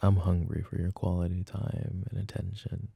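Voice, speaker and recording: A man's voice: deep voice, little pitch movement, normal speed, vocal fry, warm tone, slightly rough, no echo, full character voice. A young man playing an apologetic, adoring jealous boyfriend in an intimate roleplay, speaking in a casual, hesitant murmur. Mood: tender, adoring. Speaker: voice actor.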